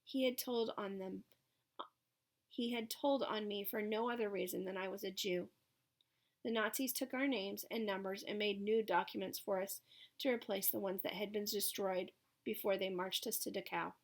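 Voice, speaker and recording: A woman's voice: mid-range voice, steady tone, moderate pace. A woman narrating a first-person story from a written text, as a reader of an audiobook.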